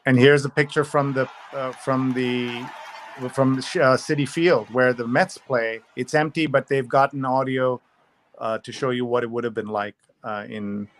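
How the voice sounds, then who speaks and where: A man hosting a casual live review show, talking through a newspaper and reading bits aloud while thinking out loud. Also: medium pitch, fairly even intonation, normal speed, slight background noise.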